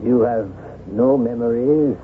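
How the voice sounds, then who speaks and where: Man acting polite careful gentleman pal, slow talker, old radio play, tinny sound. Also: mid-range voice, fairly even intonation, close to an everyday voice. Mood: uneasy, probing. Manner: polite, measured, hesitant. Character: courtly, cautious gentleman, loyal old friend of the lord. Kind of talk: 1970s radio drama acting. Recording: tinny, band-limited old radio recording with hiss.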